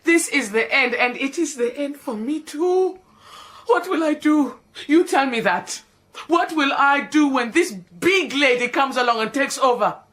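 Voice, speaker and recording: A woman's voice: fairly low pitch, very animated, a little slow. A woman voicing a proud, indignant housekeeper, theatrical and prone to wailing. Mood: anguished. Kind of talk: radio drama acting.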